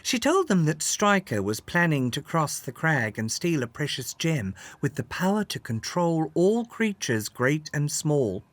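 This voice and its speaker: A man narrating a scripted children's story in an expressive, dramatic way. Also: fairly high pitch, a little slow, few pauses, no room sound.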